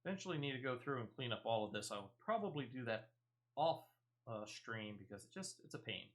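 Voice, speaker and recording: A man's voice: medium pitch, very animated, a little fast. A man giving casual live gameplay commentary as a streamer, chatty and emphatic, often repeating phrases. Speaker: game streamer.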